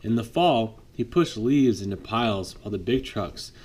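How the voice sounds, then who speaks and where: A man reading a picture book aloud to his child at bedtime, lively, pausing to chat with the child. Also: lowish voice. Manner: lively read-aloud, voices for the trucks, chats with the child. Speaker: father reading a bedtime story.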